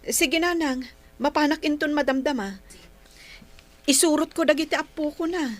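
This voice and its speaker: A woman's voice: sits high, moderate pace, faint music behind, natural voice. An actress playing an eager, dutiful grown daughter and mother with an Ilocano accent in a radio drama. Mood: concerned. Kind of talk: Philippine radio drama acting.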